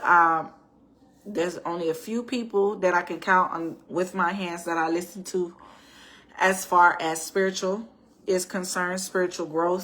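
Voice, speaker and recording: A woman with a bright, forward voice and a hint of rasp talks loosely and personally to her viewers. She is middle-aged and chatty, with frequent restarts and check-ins like "right?". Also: lowish voice. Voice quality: raspy at times. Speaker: faith and life-planning vlogger. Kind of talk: casual personal storytime talk.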